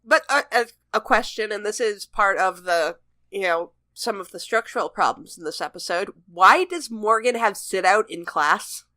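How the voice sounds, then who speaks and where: A woman with a bright, forward voice chats casually as a podcast co-host. The sound is dry and close, with a conversational, discussion-style delivery at a middle pitch. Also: lively intonation, normal speed, no echo. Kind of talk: casual chat, TV episode discussion.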